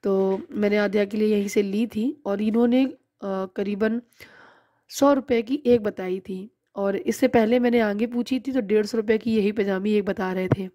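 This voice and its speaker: A woman's voice: medium pitch, fairly even intonation, moderate pace, warm tone, dry recording, studio quality. A woman in her thirties narrating her own shopping vlog in a chatty, explaining way. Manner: chatty, explains prices and bargaining. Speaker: vlogger. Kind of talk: casual vlog voice-over narration.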